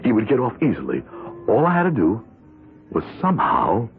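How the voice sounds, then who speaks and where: Troubled juror narrator, old muffled radio, lowish voice, broody, confiding. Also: male voice, wildly swooping pitch, moderate pace, creaky, a little hiss or hum, exaggerated voice. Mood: anguished, scheming. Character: guilt-torn male juror narrating his secret dilemma. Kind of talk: 1970s radio drama narration. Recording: muffled lo-fi radio, faint music.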